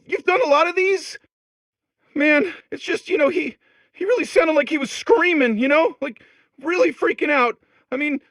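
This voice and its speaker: A extremely high-pitched little male robot from a comedy game. He is timid and worried, tripping over syllables as he rambles. The sound is dry, with no room around it. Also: fairly bright tone, no room sound. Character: anxious, timid, stammering male robot core. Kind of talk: comedic video game voice acting.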